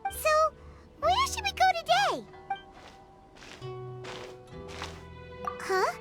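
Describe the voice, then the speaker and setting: Super high squeaky little fairy for a game, chirpy n indignant, swoops all over, music loud behind. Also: female voice, big pitch swings, measured pace, heavily acted. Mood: curious, cheerful. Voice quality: squeaky, childlike. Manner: exclaims, refers to self in third person. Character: small childlike flying fairy sidekick, chirpy, indignant, excitable. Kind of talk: animated video game voice acting.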